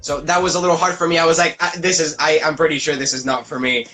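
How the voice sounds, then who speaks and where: Bright, fast-talking man from Cuba. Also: a little high, soft background music. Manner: fast, animated storytelling that acts out quotes and laughs often. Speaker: actor guest. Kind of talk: casual interview.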